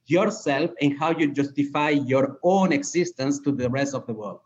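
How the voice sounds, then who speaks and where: A man's voice: mid-range voice, normal speed, a little mellow, highs cut off, slight background noise. A man from Peru, a company co-founder, reflecting in an interview and often restarting his phrases.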